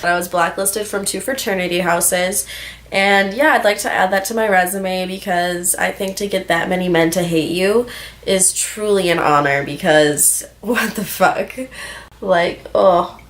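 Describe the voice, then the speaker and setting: A woman's voice: fairly low pitch, measured pace. Candid, sweary young woman vlogging. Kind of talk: casual rambling personal vlog, chatty storytelling.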